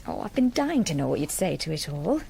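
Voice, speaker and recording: A woman's voice: low-pitched, big pitch swings, a little fast, creaky, dark tone, breathy edge, full character voice. A woman playing a curious, chattering farmer's wife in a period radio drama. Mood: eager, probing. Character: curious, chattering farmer's wife seeking her husband's attention.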